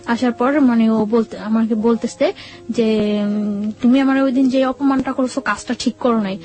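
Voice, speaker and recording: A woman's voice: on the high side, warm tone, low-quality recording. A young woman from Bangladesh recounting her life step by step in an interview, often repeating herself. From Bangladesh, Barisal region. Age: young adult. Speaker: guest telling life story. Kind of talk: personal storytelling in an interview. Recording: music playing behind the voice. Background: background music.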